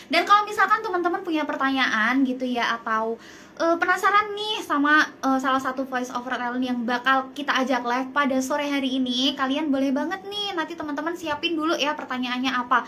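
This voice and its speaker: A woman's voice: high-pitched, brisk, sharp tone, a little hiss or hum. A woman co-hosting a casual live stream, bubbly and agreeable, speaking straight to viewers.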